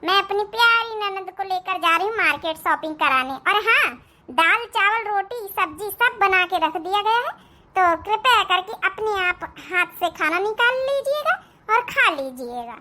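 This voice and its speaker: Woman as a sassy, high-voiced rich young daughter-in-law. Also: lively intonation, normal speed, bright, forceful tone, exaggerated voice. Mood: sassy, defiant. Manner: mock-polite, assertive, sugary. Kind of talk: Hindi moral-story cartoon acting.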